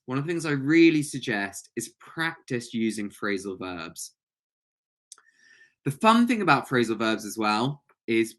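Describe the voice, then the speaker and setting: A man and English speaker teaching an online language lesson, explaining points and prompting students with encouragement. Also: mid-range voice. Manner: encouraging, praising students' answers. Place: online webinar call.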